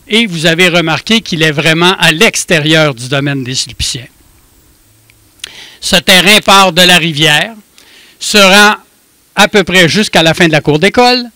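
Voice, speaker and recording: A man playing a genial French Sulpician priest from the past, addressing people in a deliberate, teacherly way as a historical re-enactment. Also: high-pitched, pushed and bright, dry recording, heavily acted. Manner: deliberate, teacherly, engages the listeners with questions. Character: long-dead male French Sulpician priest, genial town founder. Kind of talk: first-person historical re-enactment speech.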